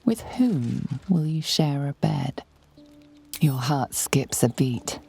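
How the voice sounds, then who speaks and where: A knowing female narrator of a fantasy game. The voice is extremely low and thick with fry, slow, measured and intimate over faint music. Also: very animated, mellow, full character voice. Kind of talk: scripted second-person narration.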